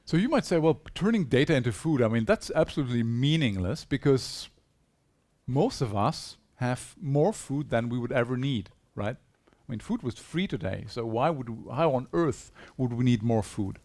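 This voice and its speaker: A man's voice: mid-range voice, expressive, normal speed, a little mellow. Brief: male conference speaker on stage, mid-range voice, earnest and persuasive, speaks into a stage mic. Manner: earnest, persuasive, poses rhetorical questions to the audience. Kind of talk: conference presentation. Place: conference hall.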